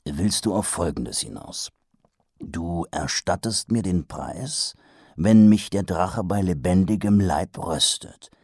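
A man voicing a weary, gloomy male watch captain who speaks slowly with dry sarcasm. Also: very deep voice, darkish tone, dry recording, pitched below the performer's own voice. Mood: dry skeptical. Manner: slow, dry and sardonic.

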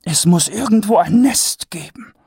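A man doing a gushing high-born lady. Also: measured pace, slightly rough, dry recording, clean studio sound, heavily acted. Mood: musing. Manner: excited, gushing, rapt. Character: noblewoman, eager dragon enthusiast, breathless.

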